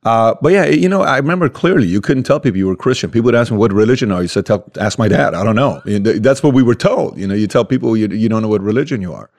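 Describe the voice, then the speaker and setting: A man in his forties from Iran, whose first language is Armenian or Assyrian, telling lively stories as an interview guest. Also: lowish voice, fast talker, hardly any pauses. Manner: animated anecdotes with frequent restarts and "you know". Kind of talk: casual conversational storytelling.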